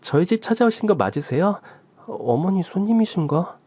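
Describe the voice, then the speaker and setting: A woman's voice: on the low side, big pitch swings, moderate pace, mellow, breathy edge, no room sound, pitched above the performer's own voice. Guy doing a fortyish woman in a radio story, raised voice, super polite and flustered, bouncy up-and-down delivery, dry close sound. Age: 40s. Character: anxious polite daughter-in-law, forty, earnest.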